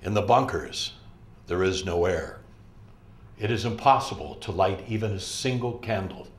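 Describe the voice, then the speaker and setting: A man's voice: deep voice, normal speed, lightly acted. An older man in a dramatic reading, playing a grave, resolute resistance commander. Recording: roomy echo, faint music behind.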